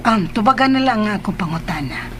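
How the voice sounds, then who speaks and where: A woman's voice: mid-range voice, expressive, fast, a bit bright, a little hiss or hum, natural voice. A woman playing a caring, probing older mother figure in a Filipino radio drama.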